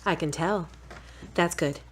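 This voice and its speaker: A woman acts a frank girlfriend in an audio drama. Her voice is slightly low, and her delivery is wry, direct and supportive. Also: a little slow, slightly rough. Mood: teasing, affectionate.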